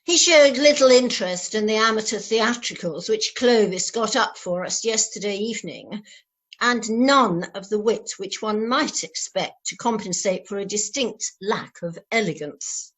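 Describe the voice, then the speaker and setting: A woman plays a judgmental Edwardian society lady in a radio play. Her voice is husky and mid-pitched, and she speaks with formal, dry disapproval. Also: measured pace, a bit bright. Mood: disapproving. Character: disapproving upper-class Edwardian lady house guest. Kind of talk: amateur radio play acting.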